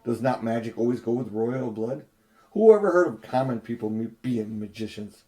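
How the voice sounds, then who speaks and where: A man's voice: mid-range voice, moderate pace, warm tone, close to an everyday voice. A man reading aloud, voicing a haughty, cruel queen in an audiobook with an imperious, cold, disdainful manner. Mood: scornful.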